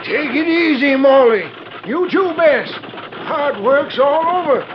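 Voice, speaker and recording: An actor playing an excitable old western stagecoach driver who talks folksily to his horses in a 1940s radio drama. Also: extremely high pitch, unhurried. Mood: soothing, weary. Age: older. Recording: scratchy, muffled 1940s radio recording.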